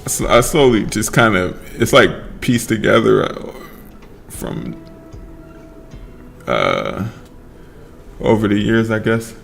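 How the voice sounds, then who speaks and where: A man casually chatting on a livestream while he paints, relaxed and slurring his words together, trailing off mid-sentence. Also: fairly low pitch, slow pace, gravelly, pauses often. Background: faint lo-fi background music.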